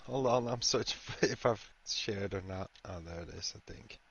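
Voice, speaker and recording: A man's voice: fairly low pitch, normal speed, creaky, fairly bright tone, husky. Terse man from Belgium on voice chat. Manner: terse, short offhand answers. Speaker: sim racing driver. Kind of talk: casual post-race chat. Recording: muffled voice chat with faint hiss.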